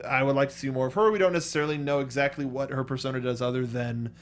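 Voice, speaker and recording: A man's voice: mid-range voice, steady tone, rapid-fire. A man chatting casually and enthusiastically as a geeky co-host of a movie review show. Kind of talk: casual fan discussion.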